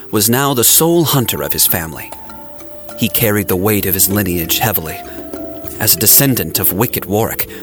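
A man narrating a scripted audio drama in a dramatic storytelling manner with deliberate phrasing. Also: lowish voice. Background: music and sound effects.

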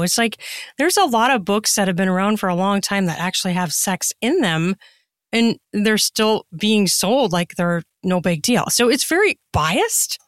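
A woman's voice: medium pitch, no echo, clean studio sound. A woman giving casual, conversational answers as an interview guest. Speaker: podcast guest, author.

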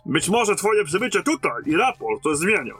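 An actor playing a battle-hardened, blunt and commanding lieutenant in a fantasy audio drama. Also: male voice, high-pitched, sing-song, moderate pace, fairly bright tone, husky, soft background music, exaggerated voice. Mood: blunt, frustrated.

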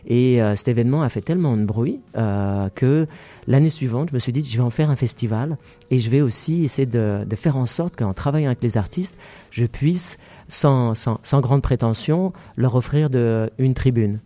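Man from France, interview guest, measured and hesitant, mellow timbre. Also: mid-range voice. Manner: measured, hesitant with many fillers. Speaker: festival founder. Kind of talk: interview conversation.

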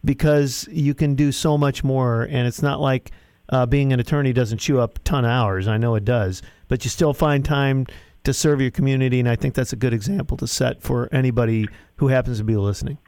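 A man's voice: medium pitch, fairly even intonation, a little fast, a little mellow, smooth, no echo. A man hosting a local radio show in a folksy, easygoing way. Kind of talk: casual radio interview. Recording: dry studio sound.

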